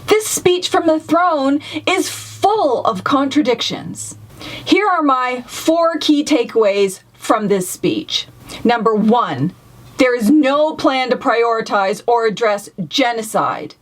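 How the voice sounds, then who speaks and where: A woman with a Canadian accent and a distinctly high voice speaks as a political commentator to an online audience. Her delivery is prepared and deliberate, with emphatic stress and an indignant edge. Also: lively intonation.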